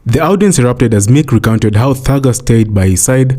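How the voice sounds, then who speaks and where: Mellow male narrator with soft edges. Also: mid-range voice, animated, moderate pace. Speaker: show narrator. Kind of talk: scripted news narration.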